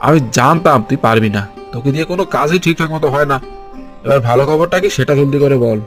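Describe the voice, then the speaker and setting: A man plays a scheming village moneylender for a cartoon. He rattles out orders and scoldings in a bossy, greedy manner at a quick pace, over music. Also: mid-range voice, lightly acted. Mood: irritated, scolding. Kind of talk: Bengali cartoon voice acting.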